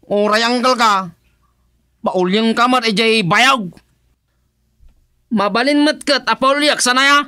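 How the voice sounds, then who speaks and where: Male actor as stubborn nephew, Ilocano accent, radio comedy. Bright, piercing delivery, very dry sound, taunting. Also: very high-pitched, unhurried, long pauses, smooth, dry recording, full character voice. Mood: taunting, insistent. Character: aggrieved, stubborn nephew bargaining with his uncle.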